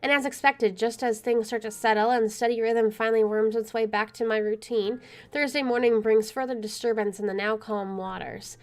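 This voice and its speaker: A woman's voice: on the high side, steady tone, normal speed. A woman streaming a game, reading visual novel narration aloud and adding casual asides. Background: soft music.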